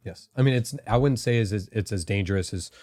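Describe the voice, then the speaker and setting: A middle-aged man, a podcast guest and former intelligence officer, answering in a careful, halting, reflective way. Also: fairly low pitch, a little mellow, no room sound, studio quality.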